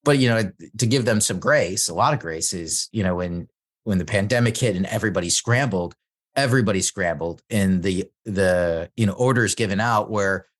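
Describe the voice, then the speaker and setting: A man's voice: low-pitched, normal speed. A man hosting an interview, agreeing with his guest and building on the guest's points in easy conversation.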